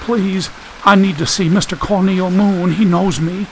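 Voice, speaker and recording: A man's voice: sits high, fairly even intonation, unhurried, slight background noise, voice pushed up, poor audio. A man voicing a frightened, sick young woman in an audiobook, plaintive and polite. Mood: pleading. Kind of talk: audiobook character voice.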